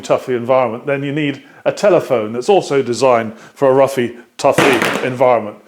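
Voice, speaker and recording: A British man hosting a workshop video, explaining a product to camera in a measured, hesitant way with frequent ums. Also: medium pitch.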